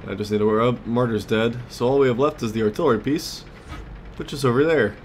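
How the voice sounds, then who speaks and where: A man's voice: mid-range voice, quick pace, darkish tone. A man giving casual gameplay commentary as a let's play host, muttering and exclaiming as he plays. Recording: clear background noise, game ambience.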